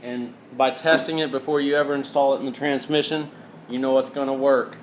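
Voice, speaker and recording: A man's voice: medium pitch, steady tone, a little fast. A man giving an informal, hands-on mechanical tutorial, explaining step by step in a plainspoken way. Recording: roomy echo, clear background noise.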